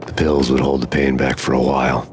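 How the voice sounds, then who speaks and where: A very deep, low-pitched voice of a grim hardboiled cop, talking in flat, deadpan noir narration. Game ambience hums clearly behind him. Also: male voice, fairly quick, background noise. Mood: brooding.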